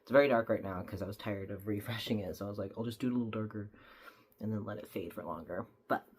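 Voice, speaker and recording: A woman's voice: rumbling low, fast talker, darkish tone, husky. A young woman, slightly stuffy from a cold, chatting casually as she explains each step of a makeup tutorial.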